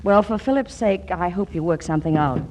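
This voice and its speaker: A woman's voice: lowish voice, fairly quick, lightly acted. An actress playing a frank, streetwise young woman in a 1940s radio comedy. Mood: sympathetic. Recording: noisy vintage radio recording.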